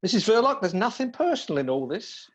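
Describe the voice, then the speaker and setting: A woman's voice: medium pitch, very animated, fairly quick. A woman in a radio play voicing an earnest, apologetic male police detective sergeant. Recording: dull lo-fi streamed audio.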